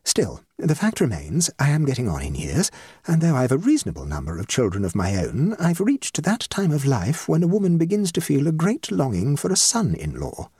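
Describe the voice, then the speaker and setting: Storybook role: male reader as dramatic middle-aged hostess, anxious and effusive, swooping high, slightly muffled. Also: wildly swooping pitch, normal speed, darkish tone, slightly rough, no room sound, studio quality, heavily acted. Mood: grave, imploring. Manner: emphatic, effusive, self-dramatizing. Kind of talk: comic short story reading.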